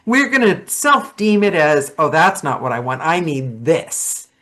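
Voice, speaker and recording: Middle-aged woman, slightly low voice, chatting candidly. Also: very animated, a little slow, fairly bright tone. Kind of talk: casual chat.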